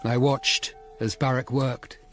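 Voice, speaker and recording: A man's voice: medium pitch, little pitch movement, slow pace, faint music behind, natural voice. An actor playing a sombre Tudor lawyer who narrates his investigation in a radio drama.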